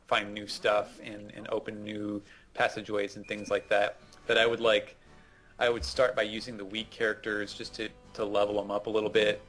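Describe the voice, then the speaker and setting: A man's voice: on the low side, fairly bright tone. A man giving casual, rambling explanations as a co-host commenting on gameplay. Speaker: gaming show co-host.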